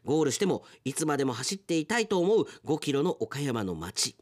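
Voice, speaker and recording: A man's voice: a little high, big pitch swings, a little fast. A middle-aged man presenting on radio, chatting casually and reading listeners' submissions aloud, often chuckling to himself.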